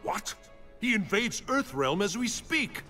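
An actor playing a solemn, pleading thunder god and protector in a video game, with grave, formal speech. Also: male voice, high voice, sing-song, slow pace, over music, full character voice. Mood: urgent pleading. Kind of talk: dramatic video game voice acting.

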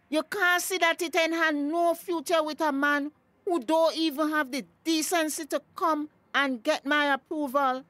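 A village mother with a Tobagonian accent and a high voice. She is stern and suspicious, speaking with indignant emphasis in radio drama style. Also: a bit bright, no echo.

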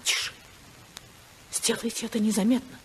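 An actress playing a proud, anguished, determined senator's wife in a 1950s radio drama, theatrical and emphatic with dramatic pauses. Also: female voice, fairly high pitch, fairly even intonation, slight background noise, lightly acted. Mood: urgent, hushed. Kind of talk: 1950s Russian radio play acting.

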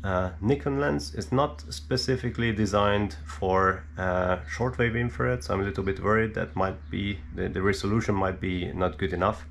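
A man giving an unscripted hands-on technical explanation in a hesitant, halting way, thinking aloud with many pauses. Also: low voice, steady tone, gravelly, slight background noise. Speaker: hobbyist presenter showing his equipment. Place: indoor room at a cluttered worktable.

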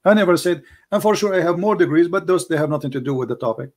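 A man's voice: fairly high pitch, expressive, fast talker. A man whose first language is Arabic, arguing emphatically in a call-in debate, repeating himself, with non-native grammar. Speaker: debate show host.

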